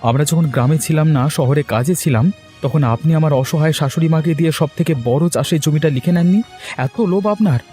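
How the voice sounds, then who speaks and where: Man playing a hot-headed, mellow-voiced young villager. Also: fairly high pitch, fairly quick, close to an everyday voice. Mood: furious accusing. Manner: accusing, blustering, confrontational. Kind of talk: cartoon story dialogue. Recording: music under the voice.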